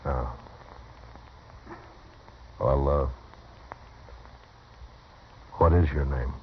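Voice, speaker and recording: An actor playing a stern but compassionate frontier marshal in a 1950s radio western, terse and grave. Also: male voice, extremely low pitch, slow, heavily acted. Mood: concerned, gruff. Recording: muffled, faintly noisy old radio recording.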